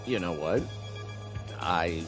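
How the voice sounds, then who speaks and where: Low-voiced man streaming a visual novel over music. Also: animated, takes it slowly. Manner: amused, reacts and stumbles while reading. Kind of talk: live gaming stream, read-aloud with reactions.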